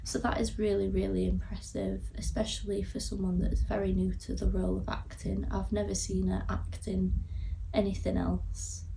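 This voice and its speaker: A woman's voice: medium pitch, level tone, moderate pace, vocal fry, mellow. A young woman with a Yorkshire accent giving a casual, somewhat hesitant film review to camera, recorded at a distance from the mic. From Yorkshire, England. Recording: distant mic with faint background noise.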